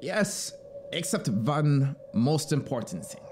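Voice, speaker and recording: A man's voice: medium pitch, animated, takes it slowly, noisy background, voice pushed up. A man voicing a zealous, proud penal colony officer in an audiobook, emphatic, fervent and commanding. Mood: fervent, reverent.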